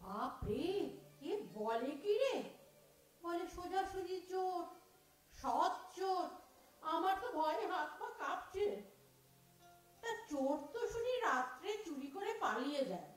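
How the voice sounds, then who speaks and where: Woman playing startled lady of the house, very high voice, scolding, exclamatory, echoey room. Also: animated, slow, long pauses, far from the mic, full character voice. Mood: shocked. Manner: exclamatory, questioning. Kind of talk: Bengali audio drama acting.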